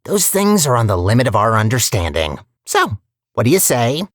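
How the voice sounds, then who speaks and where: A scheming artificial intelligence from a video game, with a lowish female voice that swings dramatically up and down. She is chatty and sarcastic, putting murderous intent in cheerful, mock-polite words. The sound is close and dry. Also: big pitch swings, moderate pace, dry recording, heavily acted. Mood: gleefully sinister. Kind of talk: video game character dialogue.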